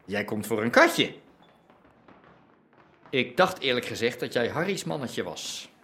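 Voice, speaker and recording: An actor playing a wary, scheming crime boss in a radio drama. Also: male voice, medium pitch, big pitch swings, a little slow, a bit bright. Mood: suspicious.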